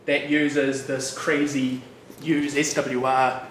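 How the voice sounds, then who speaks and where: New Zealand developer in his thirties, chatty meetup presenter, mid-pitched, distant room mic. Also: takes it slowly. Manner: relaxed, chatty, with frequent fillers and asides. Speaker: developer giving a meetup talk. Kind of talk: casual technical talk. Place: bright loft-like office room with an audience. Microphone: room mic, speaker standing away from it. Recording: distant, echoey room with background noise.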